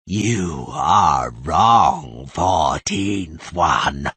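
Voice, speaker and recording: A man's voice: lively intonation, fairly bright tone, husky, dry recording, full character voice. A man voicing a cold metallic inhuman entity. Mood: sneering. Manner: slow, drawn-out, booming threats. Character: cold metallic inhuman presence, mocking, menacing.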